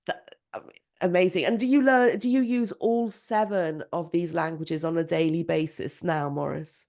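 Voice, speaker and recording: A woman's voice: on the low side, moderate pace, gravelly. Enthusiastic woman podcast host. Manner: enthusiastic, expressive. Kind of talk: podcast interview.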